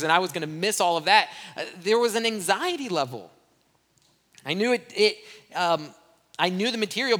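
A young male pastor from Brazil tells his church about a mission trip with open, self-mocking candor. His voice sits high and rings bright and forceful. It carries a long reverb from a church hall. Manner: candid, self-deprecating storytelling. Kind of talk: church missions report talk.

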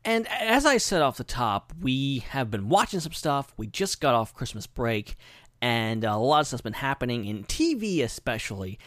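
A man with a lively, swooping delivery hosts a casual podcast. He is effusive and folksy, rolling on at length in chatty phrases. The sound is close and dry, with hardly any room on it. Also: medium pitch, moderate pace, no echo. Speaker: podcast host. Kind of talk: casual live podcast chat.

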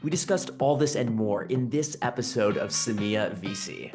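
A man with a fairly low voice hosts a podcast. He reads his introduction at an even, measured pace and asks open questions. Music plays clearly beneath his voice. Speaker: podcast host. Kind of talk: scripted intro and interview questions. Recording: music playing under the intro.

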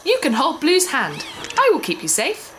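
A woman's voice: high voice, huge pitch swings, moderate pace, a bit bright, slight background noise, full character voice. A woman voicing a childlike female creature who talks about herself in the third person. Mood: gentle.

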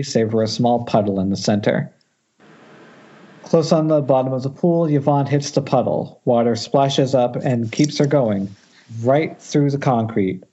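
Dark-voiced man reading stage directions. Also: mid-range voice. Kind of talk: script narration at amateur table read. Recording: muffled internet call, faint noise.

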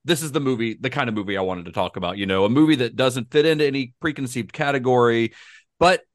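A man's voice: medium pitch, fast, dry recording, studio quality. A man co-hosting a film podcast, talking casually with frequent restarts and laughs. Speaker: podcast co-host.

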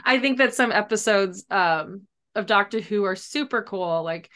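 A woman speaks as a guest author in a relaxed interview. Her voice sits at a middle pitch. She explains things in a chatty, loosely hedged way, leaning on little filler words like "like" and "kinda.". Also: normal speed. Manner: chatty, hedging, frequent "like" and "kinda". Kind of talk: casual interview conversation.

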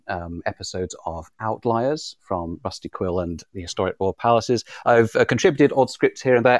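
A man's voice: fairly low pitch, no room sound. A British man in an informal live conversation, speaking in a wry, effusive, mock-formal way. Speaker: audio drama writer, interviewee. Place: remote live podcast session.

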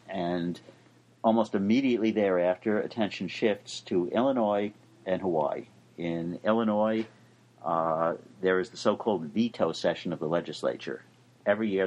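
Deep husky-voiced older law professor. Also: male voice, rough recording. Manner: dry, measured. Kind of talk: explaining legal news.

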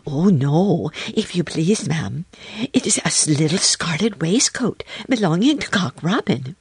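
Here is a storybook hedgehog washerwoman, played by a woman reader, small, stout and eager to please. She chatters in a deferential, fussy way, with very lively intonation and a slightly husky edge. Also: deep voice, unhurried, no echo, voice pushed up, heavily acted. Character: stout little hedgehog washerwoman, elderly, polite and anxious. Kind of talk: children's storybook character voice.

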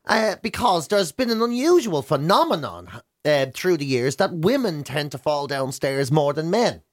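Irish male radio host, high-pitched voice, mocking comic banter, repeats words for effect. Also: very animated, moderate pace. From Ireland.

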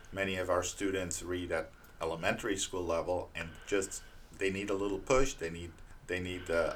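A man's voice: sits low, a bit bright, a little hiss or hum. A middle-aged man from Romania with a Romanian accent, a teacher giving earnest, plain-spoken interview answers. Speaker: literacy teacher, guest.

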